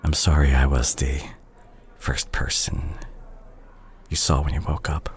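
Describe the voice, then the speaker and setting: A man playing a remorseful, tender doctor ex-boyfriend in an intimate audio roleplay, speaking softly and hesitantly to the listener. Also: rumbling low, level tone, unhurried, slight background noise. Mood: apologetic, hesitant. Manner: hesitant, gentle, self-conscious, sighing. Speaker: audio drama voice actor.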